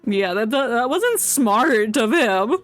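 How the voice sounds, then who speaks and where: A woman's voice: a little high, expressive, slow pace. A woman live-streaming a game with casual, rambling, joking commentary, often mimicking the characters. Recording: stream with game music behind.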